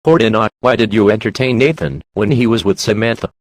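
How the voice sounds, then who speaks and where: A synthetic male voice playing a talk show host in a scripted tabloid parody, with a measured presenter delivery. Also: on the low side, dry recording, natural voice. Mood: presenting. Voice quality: synthetic text-to-speech voice.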